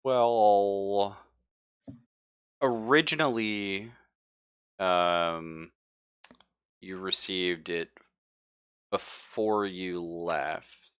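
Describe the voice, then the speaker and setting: Low, bright-voiced man as game master. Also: extremely slow, long pauses, smooth, dry recording, clean studio sound. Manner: casual, hedging, talking through options with players. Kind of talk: improvised narration of a tabletop roleplaying game.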